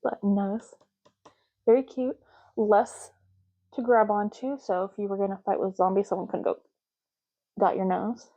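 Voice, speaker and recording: A woman doing a soft-spoken ASMR roleplay as a clerk, explaining options in a casual, chatty way. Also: mid-range voice, flat delivery, normal speed, long pauses, muffled recording. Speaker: ASMR creator playing a clerk making ID cards.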